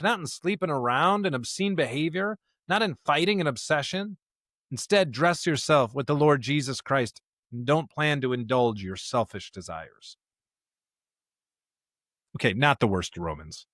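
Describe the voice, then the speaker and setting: A man's voice: a little high, expressive, normal speed, a bit bright, dry recording, clean studio sound. A man hosting a podcast in a conversational, informal and emphatic way, like a pastor talking scripture with a colleague.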